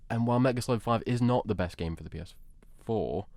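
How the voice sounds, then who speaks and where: Emphatic man with a low voice, debating. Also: quick pace, a little mellow, no echo. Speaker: debate show panelist. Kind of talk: casual opinionated debate.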